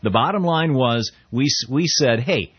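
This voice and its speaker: A man's voice: mid-range voice, expressive, moderate pace, breathy edge, dry recording, muffled recording. A middle-aged man from the United States hosting a talk show, giving a conversational political commentary with frequent restarts and quoted readings. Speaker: talk radio host.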